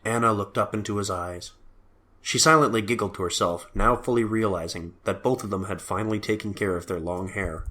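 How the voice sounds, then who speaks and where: A man's voice: on the low side, brisk, bright, forceful tone. A man reading scripted story narration for an audio drama.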